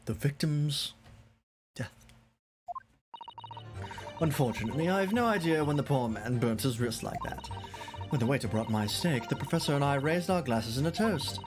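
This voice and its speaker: A streamer putting on a prim, haughty English gentlewoman. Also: medium pitch, lively intonation, normal speed, long pauses, close to an everyday voice. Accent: British, put on. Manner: disdainful, prim and condescending. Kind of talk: reading game dialogue aloud. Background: game music.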